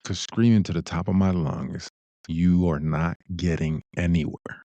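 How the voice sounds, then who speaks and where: A man with a very deep voice talks as a podcast co-host. His manner is reflective and casual, laying out advice through rhetorical questions. The sound is dry and close. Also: fairly even intonation, measured pace, mellow, no echo, muffled recording. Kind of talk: casual discussion of relationship advice.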